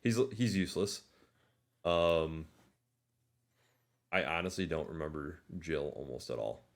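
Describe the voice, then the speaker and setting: A man co-hosting a podcast, talking casually about films in a rambling, animated storytelling way with plenty of exaggeration. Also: rumbling low, a little slow. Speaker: podcast co-host. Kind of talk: casual movie discussion chat.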